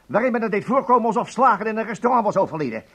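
An actor playing a furious, bullying broadcasting boss in a Dutch radio drama, indignant and theatrical. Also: male voice, sits high, expressive, racing, sharp tone, husky, full character voice. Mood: scornful, indignant. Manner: theatrical, indignant, scornful, reading out with biting emphasis.

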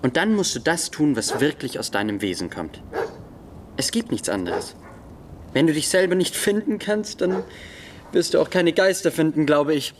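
A man's voice: on the high side, expressive, normal speed, slight background noise, natural voice. An actor playing a reserved, thoughtful student in a radio drama, guarded and skeptical in his replies. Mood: earnest advice. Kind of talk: German literary radio drama.

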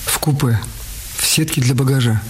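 A man's voice: medium pitch, normal speed, a little hiss or hum, close to an everyday voice, poor audio. A man playing a naive, trusting young man on the run in a radio drama. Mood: uncertain. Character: Daniel, naive young runaway man, trusting, easily disappointed.

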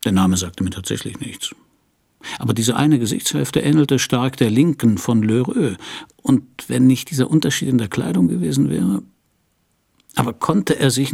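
A man playing a world-weary private detective who narrates his case in a hardboiled radio crime play, dry and laconic, with a husky, gravelly voice. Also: medium pitch, a little mellow. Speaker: narrator and lead actor.

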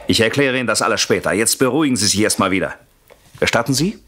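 An actor playing a betrayed husband out for revenge in a German radio thriller, with a polite, mocking and commanding manner. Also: male voice, on the low side, animated, fast, fairly bright tone, a little hiss or hum. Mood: coolly menacing. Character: coolly menacing betrayed husband plotting revenge on rival.

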